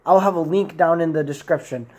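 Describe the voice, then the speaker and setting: A man's voice: fairly high pitch, a little fast, a little mellow. A man in his twenties giving a rambling, informal product review to camera in a room at home. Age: 20s. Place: small indoor room at home.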